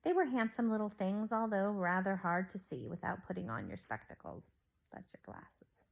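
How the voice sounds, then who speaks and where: A woman teacher reading a storybook aloud to young children in an expressive way, recorded at home. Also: mid-range voice, normal speed, vocal fry, rough recording. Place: on a couch at home.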